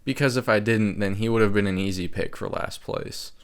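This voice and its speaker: A man's voice: fairly low pitch, fairly quick, clear, resonant tone. A man giving scripted, opinionated ranking commentary in a wry way, as a video creator. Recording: music behind the voice. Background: game music.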